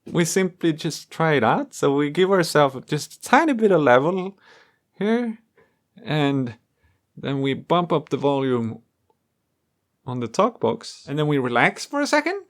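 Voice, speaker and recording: Animated, higher-pitched man thinking aloud. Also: measured pace. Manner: excitable, playful, thinking aloud. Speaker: host and musician demonstrating homemade experimental gear. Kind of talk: casual spontaneous demo to camera.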